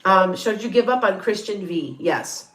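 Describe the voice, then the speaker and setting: A woman's voice: mid-range voice, unhurried, fairly bright tone, reverberant, rough recording. A middle-aged woman with a Northern Illinois Chicago-area accent chats casually as a tarot reader answering viewer questions, rambling and restarting, often laughing. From Beloit, Wisconsin area, Midwest US. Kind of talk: casual livestream chat.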